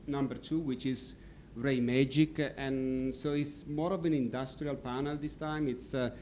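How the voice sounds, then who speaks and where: Italian-accented man, halting presentation. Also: medium pitch, steady tone, darkish tone, a little hiss or hum. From Italy. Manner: halting, many fillers. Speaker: company founder presenting. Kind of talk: presentation talk. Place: stadium function room.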